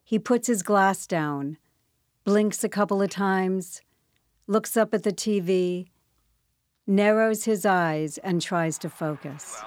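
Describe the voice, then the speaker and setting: Measured woman narrator, dry sound. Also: mid-range voice, darkish tone, dry recording. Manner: measured, storytelling. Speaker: host and narrator. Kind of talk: scripted narration introducing a radio play.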